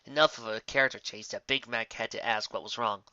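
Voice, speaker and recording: Male narrator for fan fiction, bright forceful voice, expressive reading, dry but dull home recording. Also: on the low side, brisk, clear, resonant tone, dry recording. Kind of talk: expressive scripted story narration.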